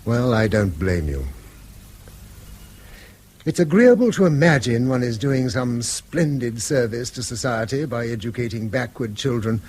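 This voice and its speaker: A man's voice: medium pitch, animated, measured pace, darkish tone. A man acting a weary, bitter middle-aged schoolmaster and father in a British radio drama, speaking eloquently and self-reproachfully in a British accent. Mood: bitter, musing. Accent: British English. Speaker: actor.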